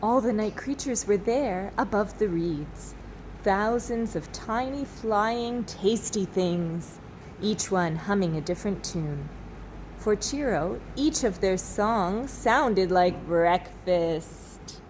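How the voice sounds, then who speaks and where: A woman teacher reading a children's storybook aloud expressively, with dramatic pauses. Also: mid-range voice, animated, a little mellow, slight background noise, rough recording. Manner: slow, dramatic pauses, asks listeners questions.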